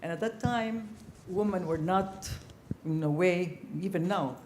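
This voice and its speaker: An older woman from Ecuador speaking on a panel in a large conference hall, her voice mellow and warm. She talks earnestly and reflectively, filling her pauses with ums. The room's echo trails after her words. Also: fairly low pitch, slight background noise. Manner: earnest, reflective, frequent ums, growing animated. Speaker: panelist, former ambassador. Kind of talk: panel discussion storytelling.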